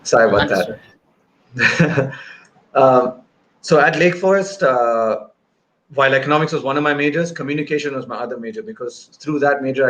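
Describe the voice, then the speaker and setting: A man in his thirties talking conversationally as an interview guest, speaking on a video call through earphones. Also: medium pitch. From grew up in Bahrain and Malaysia. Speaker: guest filmmaker. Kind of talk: conversational interview answer, recounting career. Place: indoor room, remote video call. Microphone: wired earphones on video call. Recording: noisy video-call audio.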